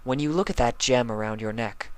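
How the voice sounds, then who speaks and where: A man reading a fanfic aloud and voicing a solemn, wise female pony princess in a calm, measured way. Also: mid-range voice, pitched above the performer's own voice, lightly acted.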